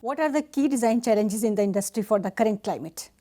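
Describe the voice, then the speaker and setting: Formal higher-pitched woman host. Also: quick pace, mellow. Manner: formal, reads questions. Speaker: talk show host. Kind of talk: scripted introductions and questions.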